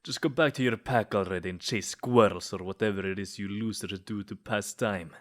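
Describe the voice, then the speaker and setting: Smug, lovesick male vampire played by a man, low-voiced. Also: brisk, close to an everyday voice. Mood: defensive, condescending. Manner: theatrical, taunting, sweet-talking the listener. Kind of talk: comedic ASMR roleplay acting.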